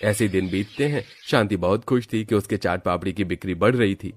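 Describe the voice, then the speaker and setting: A man's voice: lowish voice, a little fast. A man giving scripted storytelling narration for a moral cartoon.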